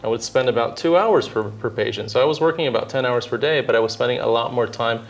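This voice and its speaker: A man in his thirties from Southern California, a dentist answering interview questions in a measured, thoughtful way with frequent filler pauses. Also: mid-range voice. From Southern California, United States. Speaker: dentist and orthodontist guest.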